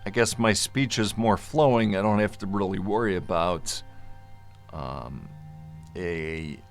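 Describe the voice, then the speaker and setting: A man giving an informal explainer as a vlogger, speaking in a halting, deliberate way with long hesitations and at times mildly slurred speech. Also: sits low, soft background music. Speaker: vlogger sharing a personal medical journey. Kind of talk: informal explainer, part reading notes, part ad-libbed.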